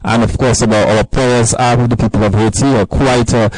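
A man's voice: mid-range voice, fairly even intonation, brisk, a little mellow, no echo, low-quality recording. A man hosting a live radio interview, hesitant and often repeating his words as he speaks.

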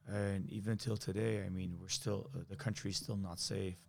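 A man's voice: deep voice, flat delivery, normal speed, creaky, a little mellow. An Armenian-American man, a guest in a casual chat, explaining things thoughtfully with frequent fillers. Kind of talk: casual conversation.

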